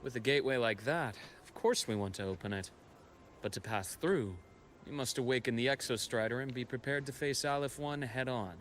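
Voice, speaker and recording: An actor playing a composed, knowledgeable expedition guide and scholar in a video game, telling history in a measured, reflective way. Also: male voice, mid-range voice, a bit bright, a little hiss or hum, close to an everyday voice. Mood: earnest. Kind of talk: scripted video game story dialogue.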